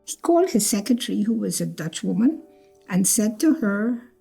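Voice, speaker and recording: A woman's voice: medium pitch, sing-song, normal speed, creaky, dark tone, slightly rough, faint music behind, poor audio. An older woman born in Trinidad, telling her life story in a reflective way, repeating phrases and acting out what others said. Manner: reflective, repeats phrases, acts out quoted speech. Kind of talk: personal storytelling interview.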